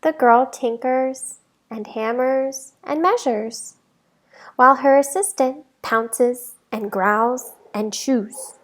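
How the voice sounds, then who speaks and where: Woman, high voice, slow pace, expressive storybook read-aloud, emphatic teacherly phrasing. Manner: deliberate, emphatic phrasing for young listeners. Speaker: teacher reading a picture book aloud.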